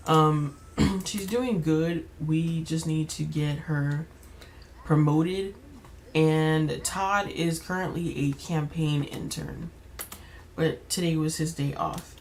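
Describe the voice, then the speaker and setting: Slightly raspy woman rambling over gameplay. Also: low voice, steady tone, takes it slowly, darkish tone. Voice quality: slightly raspy, keeps clearing throat. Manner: rambling, many fillers and restarts. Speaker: gaming YouTuber. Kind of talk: casual gameplay commentary.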